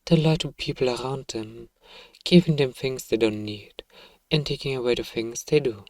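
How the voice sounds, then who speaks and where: A man playing a self-righteous evil emperor, softly and coaxingly telling a bedtime story to a child in an ASMR roleplay. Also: medium pitch, moderate pace, dry recording, lightly acted. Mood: smug soothing.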